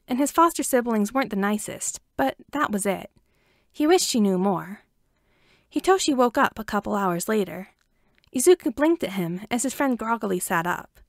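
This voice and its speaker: Creaky-voiced woman reading a story. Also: a little high, dry recording, clean studio sound. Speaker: amateur audiobook reader. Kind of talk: scripted story narration.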